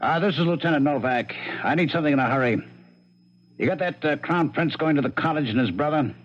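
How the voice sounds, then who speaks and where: An actor playing a blunt, streetwise police lieutenant in 1970s radio drama, skeptical but sympathetic, with clipped, emphatic phrases. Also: male voice, on the high side, fast talker, lightly acted. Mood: brisk, probing. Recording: dull 1970s radio broadcast recording.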